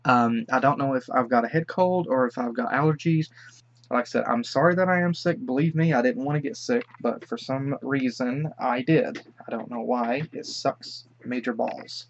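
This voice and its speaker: A man's voice: medium pitch, normal speed, highs cut off. A young man with a head cold, hosting a casual, rambling reaction commentary. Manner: chatty, rambling, excitable. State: sick with a stuffy head cold. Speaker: reaction video host.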